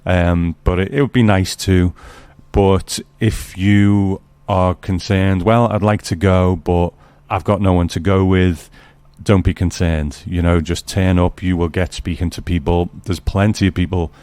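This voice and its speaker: A man's voice: deep voice, steady tone, measured pace, few pauses, darkish tone, clear, resonant tone, dry recording. A man hosting a podcast, talking in a casual, conversational way. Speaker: podcast host. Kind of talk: casual chat.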